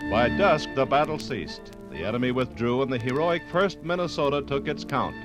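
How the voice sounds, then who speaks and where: A man's voice: mid-range voice, expressive, normal speed. Old fifties radio narrator guy, serious and dramatic, music going behind him.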